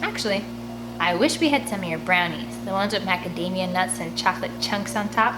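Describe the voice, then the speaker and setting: A woman's voice: on the low side, a little fast, background noise, natural voice. Woman voicing Finn, a touchy preteen boy. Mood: chatty.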